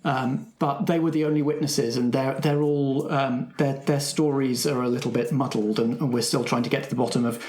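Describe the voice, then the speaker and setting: In a roomy, echoing recording, a man acts out a flustered primary school teacher at a middling pitch. The teacher is shaken and halting, stammering and doubling back over words in a roleplaying drama. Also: steady tone, darkish tone, lightly acted.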